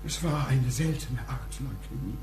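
An actor playing a self-important, formal head doctor of a private clinic in a radio drama. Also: male voice, medium pitch, roomy sound, noisy background, natural voice. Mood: hesitant, guarded. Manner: formal, pompous, measured. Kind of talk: German radio drama acting.

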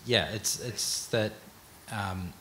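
Low bright-voiced man in echoey hall. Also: slow. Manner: hesitant, many restarts and ums. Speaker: panelist, museum executive. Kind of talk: panel discussion answer. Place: large auditorium stage. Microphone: handheld mic. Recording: echoey hall, faint noise.

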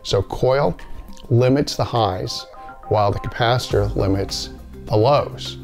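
A man's voice: medium pitch, slow, creaky, darkish tone, faint music behind. A middle-aged man giving an informal, plain-spoken explainer to camera, going step by step with examples. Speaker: company presenter explaining audio basics. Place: indoor listening room, seated.

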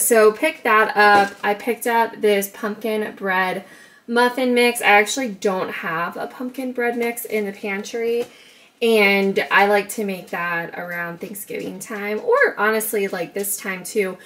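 A woman's voice: medium pitch, fairly even intonation, unhurried, a bit bright. A young woman casually chatting through a grocery haul vlog in her home kitchen, upbeat and talkative, recorded on a camera mic. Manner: chatty, upbeat, talks in a sing-song baby voice to her son. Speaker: vlogger.

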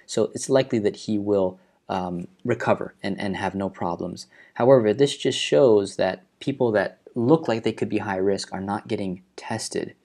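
Young guy from hawaii, lowish voice, earnest news rundown at home on a webcam. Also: a little mellow. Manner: earnest, measured, stressing key numbers. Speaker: video host. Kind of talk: explanatory news commentary. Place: living room at home, indoors.

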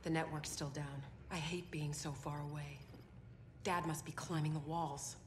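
Woman as an earnest young American soldier, low-toned. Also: flat delivery, normal speed, noisy background, close to an everyday voice. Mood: worried. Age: young adult. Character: young female soldier, earnest, worried daughter. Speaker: actor in a video game. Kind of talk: video game cutscene acting.

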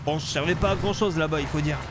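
A man streaming an improvised game roleplay, talking in an excitable, theatrical way. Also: fairly high pitch, quick pace, music in the background. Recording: noisy game sound behind voice.

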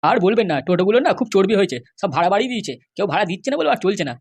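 A scheming middle-aged village politician, played by a man, blusters at extreme speed in a comic cartoon. He is touchy and defensive, and the voice is artificially pitched up. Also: expressive, very fast, husky, exaggerated voice. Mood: ingratiating.

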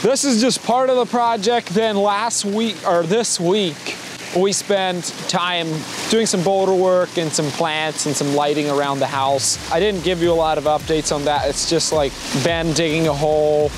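A man's voice: fairly high pitch, steady tone, a little slow. A man giving a casual, rambling, self-deprecating project update to camera as a landscaper vlog host, outdoors. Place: outdoors by a driveway waterfall. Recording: clearly noisy outdoor audio with running water.

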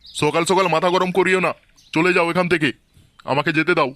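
A man voicing excitable, grumbling village men in a colloquial style for a comedy cartoon. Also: a little high, a little fast, heavy background noise, lightly acted. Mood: offended. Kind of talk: Bengali comedy cartoon voice acting.

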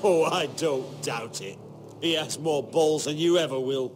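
An actor playing a bitter, defiant castle knight in a fantasy video game, scornful and forceful. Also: male voice, on the high side, a little slow, background noise, lightly acted. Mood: bitter anger. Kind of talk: dramatic video game acting.

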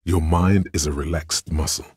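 A man voicing Logic as the calm, cerebral inner voice of a detective in a video game, measured, dry and analytical, with a raspy voice. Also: no echo, full character voice. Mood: dry, reasoning. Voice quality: very deep, gravelly rasp.

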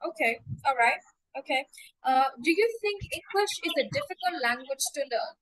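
A young adult woman acting as an English test examiner, prompting a candidate slowly and repeating model answers over a video call. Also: sits high, lively intonation, fairly bright tone, rough recording. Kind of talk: mock speaking test, coaching.

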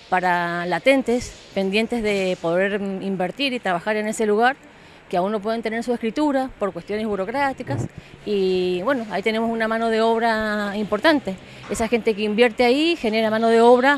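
Argentine woman interviewed outdoors, faint ambient noise. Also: medium pitch. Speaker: city councillor being interviewed. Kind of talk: spontaneous interview answer. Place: outdoors by a building. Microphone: handheld reporter microphone.

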